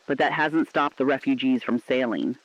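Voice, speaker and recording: A man's voice: mid-range voice, moderate pace, dry recording, lightly acted. A man playing an earnest, defiant radio correspondent in a shelter, giving a solemn, dramatic broadcast on a dull, dry recording. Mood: somber, hopeful.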